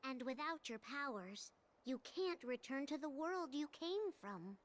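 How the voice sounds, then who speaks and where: A woman voicing a small, cute cat-like spirit guide in a video game, earnest, gentle and cautioning. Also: high-pitched, lively intonation, unhurried. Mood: grave warning. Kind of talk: video game cutscene voice acting.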